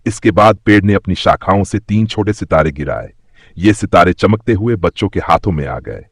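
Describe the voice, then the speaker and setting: A man's voice: low voice, expressive, normal speed, husky, no room sound, clean studio sound. A man narrating a scripted moral story for children as a storyteller.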